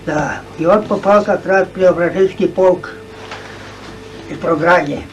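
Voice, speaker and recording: A male folk storyteller with a high voice tells a tale in short halting phrases. It is an old video recording, picked up from a distance with faint noise. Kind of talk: oral folk tale telling. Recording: distant, noisy 1990s archive video.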